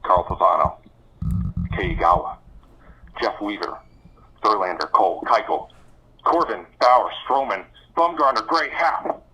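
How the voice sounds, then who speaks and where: Muffled phone voicemail, low-voiced man, theatrical sports rant, swooping intonation. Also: lively intonation, measured pace, long pauses, bright, forceful tone, slightly rough. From Connecticut, United States. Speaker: voicemail caller. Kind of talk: dramatic prepared fan rant.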